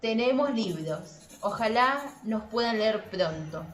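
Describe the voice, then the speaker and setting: A woman's voice: on the high side, lively intonation, slow pace. A woman with an Argentine Spanish accent co-hosting a casual literary podcast in a colloquial way, repeating phrases for emphasis. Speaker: podcast co-host. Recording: echoey room, faint background noise.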